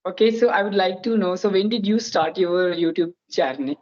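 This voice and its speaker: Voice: mid-range voice, moderate pace, a little mellow, dull audio. A speaker in their twenties with a Telugu-influenced Indian accent, a native Telugu speaker, chatting earnestly as host and starting many phrases with "so". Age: 20s. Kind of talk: casual interview chat.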